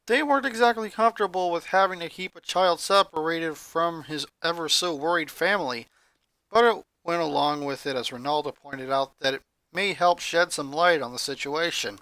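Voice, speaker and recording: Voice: medium pitch, normal speed, pushed and bright, no echo. A narrator reading a scripted story aloud. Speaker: fan fiction reader.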